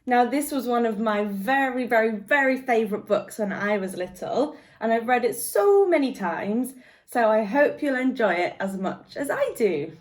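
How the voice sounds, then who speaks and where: A woman guest reader reading a picture book aloud to young children in an expressive storytelling manner. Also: on the high side, moderate pace.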